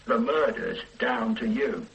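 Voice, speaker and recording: An actor playing a hard-pressing police detective who questions a suspect with needling sarcasm in a radio play. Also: male voice, mid-range voice, unhurried, gravelly, fairly bright tone, muffled recording. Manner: sardonic, needling interrogation. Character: hard-pressing male detective, sardonic, relentless. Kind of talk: 1970s radio drama acting.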